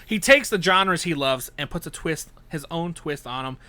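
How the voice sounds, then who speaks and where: Bright, animated man podcast host. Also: on the high side, moderate pace, no room sound. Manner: animated, laughing, leading the conversation. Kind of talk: casual chat.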